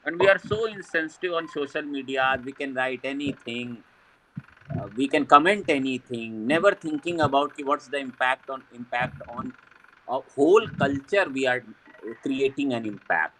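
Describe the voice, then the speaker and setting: A man's voice: mid-range voice, normal speed, a bit bright, low-quality recording. A man speaking earnestly on a video call panel, emphatic and repeating himself as he searches for words. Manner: emphatic, with frequent restarts. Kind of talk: earnest discussion talk. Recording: faint background noise.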